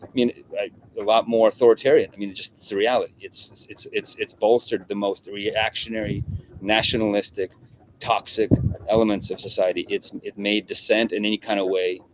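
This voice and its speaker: A middle-aged man from Russia, a guest in an interview, talking in a halting way with many restarts and repeated words. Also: on the low side, little pitch movement, slight background noise, rough recording. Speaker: guest journalist. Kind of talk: conversational interview.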